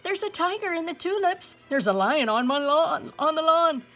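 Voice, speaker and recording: A woman's voice: sits high, expressive, moderate pace, fairly bright tone, faint music behind, voice pushed up. A woman voicing alarmed, flustered villagers in a storybook, exclaiming in a panicky way.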